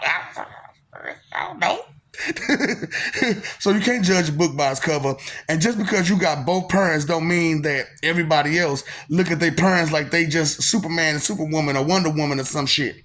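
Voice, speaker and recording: A man in his thirties speaks in a soft, polite-sounding voice, pitched a little higher than most men. He tells a personal story in a laid-back, slangy way, circling back with fillers and breaking into laughs along the way. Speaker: vlogger telling a personal story. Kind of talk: casual storytelling monologue.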